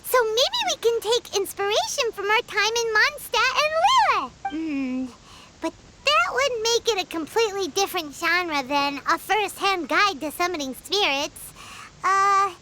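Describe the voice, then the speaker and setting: An actress voicing a tiny, excitable childlike companion in a video game, with a high squeaky voice and a habit of exclaiming. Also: female voice, a little slow, background noise, full character voice. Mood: curious. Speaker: companion character.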